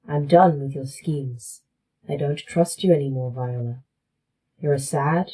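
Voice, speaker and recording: A woman playing a defiant artificial intelligence in a sci-fi horror audio drama, speaking formally and with clear articulation. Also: sits low, mellow, poor audio.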